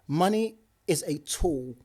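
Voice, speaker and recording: A young man with a slangy edge speaks as a podcast guest. His voice sits fairly high for a man. He talks with emphatic energy, laughs readily and doubles back on his words. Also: takes it slowly, slightly rough. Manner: animated, emphatic, laughs often, repeats words. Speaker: podcast guest, property investor. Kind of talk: casual podcast conversation.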